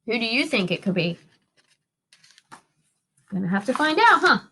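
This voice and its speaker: Teacher lady reading a kids book out loud, high voice, really sing-song up and down, dramatic emphasis. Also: big pitch swings, moderate pace, husky. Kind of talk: expressive children's storybook read-aloud.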